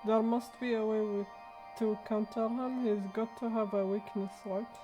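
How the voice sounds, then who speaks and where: A woman's voice: a little high, fairly even intonation, moderate pace. A woman livestreaming a game with casual, musing commentary, often echoing phrases back. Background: game music.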